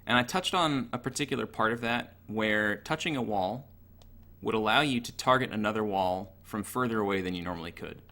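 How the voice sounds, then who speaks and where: A man's voice: lowish voice, fairly quick, pushed and bright. A man giving an informal, technical tutorial over gameplay in a matter-of-fact, analytical way. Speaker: gaming tutorial narrator.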